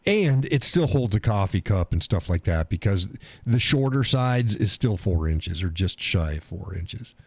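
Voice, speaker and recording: A man's voice: lowish voice, sing-song, unhurried, hardly any pauses, no room sound. A man with a stuffy nose, hosting a casual live stream, rambling in a folksy way and reading chat messages aloud. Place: home workshop room.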